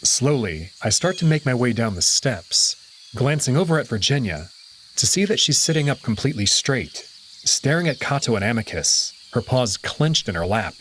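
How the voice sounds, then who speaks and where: A man narrating a visual novel in the first person with an expressive, dramatic reading. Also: medium pitch, normal speed, a little hiss or hum, rough recording.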